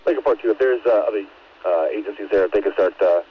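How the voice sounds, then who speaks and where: A man's voice: lowish voice, quick pace, narrow, tinny audio, slight background noise. A man working as a police officer, giving businesslike instructions over police scanner radio. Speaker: police officer directing a scene.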